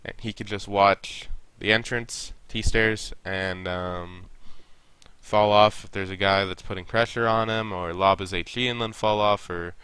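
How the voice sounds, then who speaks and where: Deep bright-voiced man with a stuffy nose. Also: unhurried, clear, resonant tone, dry recording. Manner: relaxed, coaching, talks to players directly. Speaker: esports coach reviewing a match. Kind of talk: casual game review commentary.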